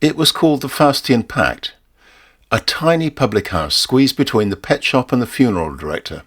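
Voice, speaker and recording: A British man reading a first-person short story aloud in a measured, expressive way with dry, wry humour. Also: mid-range voice.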